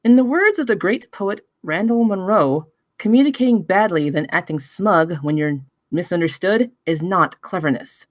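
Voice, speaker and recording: A woman's voice: lowish voice, animated, normal speed, few pauses, dry recording, lightly acted. A woman playing a principled, frantic female space scavenger in a screenplay table read. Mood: smug, scolding.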